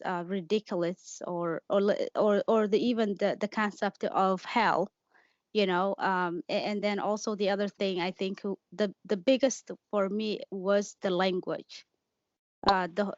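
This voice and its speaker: A woman's voice: medium pitch, no echo, highs cut off. Somali-born woman speaking with many hesitations. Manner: hesitant, many fillers and restarts, laughs easily. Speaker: interview guest. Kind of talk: candid personal interview.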